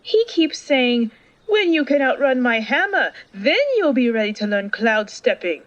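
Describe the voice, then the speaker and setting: A woman's voice: on the high side, a little slow, no echo. A woman playing an eager, cheeky and curious young woman in a fantasy audio drama. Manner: excitable, teasing. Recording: dull, dry close recording.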